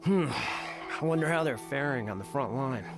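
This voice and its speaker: An actor playing a resigned army soldier in a video game, talking in a musing way. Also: male voice, medium pitch, animated, normal speed, natural voice. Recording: game music behind the voice.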